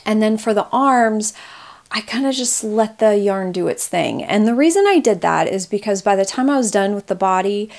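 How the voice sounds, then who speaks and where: A woman's voice: medium pitch, lively intonation, normal speed, a little mellow. A woman talking casually about her knitting project, chatty and rambling with frequent restarts. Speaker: knitting vlogger.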